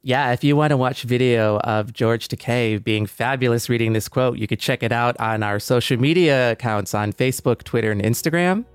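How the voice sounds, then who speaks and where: A man's voice: medium pitch, normal speed, hardly any pauses, clean tone, no echo. Male podcast co-host, dry close sound. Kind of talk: casual podcast discussion and sign-off.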